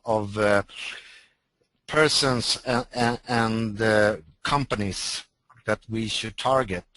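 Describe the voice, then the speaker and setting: A man with a Swedish accent, a native Swedish speaker, co-hosting a webinar and answering in halting English with many restarts. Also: on the low side, a bit bright, no echo, rough recording. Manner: halting, many restarts and fillers, searching for words. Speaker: webinar co-host.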